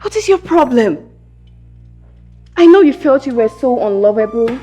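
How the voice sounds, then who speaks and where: A woman's voice: a little high, lively intonation, normal speed, mellow, faint music behind. A woman acting a proud, scornful, hot-tempered young princess in a screen drama, with a Nigerian accent. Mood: bitter scorn.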